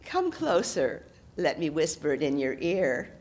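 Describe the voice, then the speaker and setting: Woman storyteller as a cunning old hag, high-swooping, drawls her demands. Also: sing-song, darkish tone. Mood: sly, teasing. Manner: coaxing, drawn-out teasing lines. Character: loathly old hag woman, sly and knowing. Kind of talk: oral storytelling.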